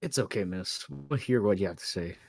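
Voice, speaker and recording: A man's voice: lowish voice, lively intonation, fairly quick, gravelly, slight background noise. A man voicing a courteous, reassuring male assistant in a detective visual novel. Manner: courteous, soothing.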